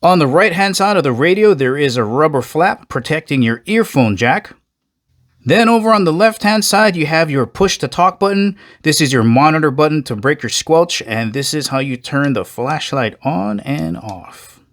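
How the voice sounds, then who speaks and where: A man's voice: fairly high pitch, lively intonation, normal speed, a bit bright. A man giving a casual, conversational product review, addressing viewers directly as he explains.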